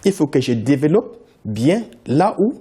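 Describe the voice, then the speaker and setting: Insistent man, lively pitch. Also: measured pace, husky. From Cameroon. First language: English. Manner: insistent, repeats his points. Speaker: company founder, interview guest. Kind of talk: TV interview answers.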